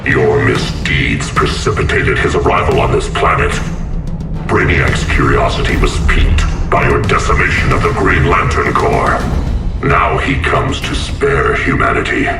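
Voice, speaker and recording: An actor playing a solemn, accusing sorcerer who speaks for cosmic order in a video game, with portentous formal diction. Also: male voice, a bass voice, measured pace, loud music behind the voice. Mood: stern, accusing. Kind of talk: video game cutscene acting. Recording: echoing, distant, under loud score.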